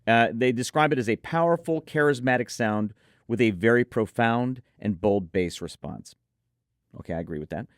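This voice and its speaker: A man's voice: medium pitch, moderate pace, no echo, clean studio sound. A man co-hosting a product review, talking conversationally with enthusiastic, firm opinions. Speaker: headphone show co-host reviewer.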